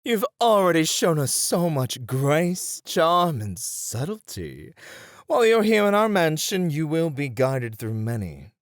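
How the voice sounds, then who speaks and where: A man playing a courtly, gently teasing gentleman tutor of flirtation in a scripted ASMR roleplay, with genteel, flowery, measured speech. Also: on the high side, sing-song, no echo, studio quality. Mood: impressed, gracious.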